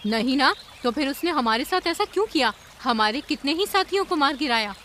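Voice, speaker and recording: A woman's voice: high-pitched, expressive, brisk, pushed and bright, slight background noise. A woman voicing a commanding, dutiful ant queen in a cartoon story, speaking in a solemn, declamatory way. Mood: grieved, indignant. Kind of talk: Hindi animated cartoon story acting.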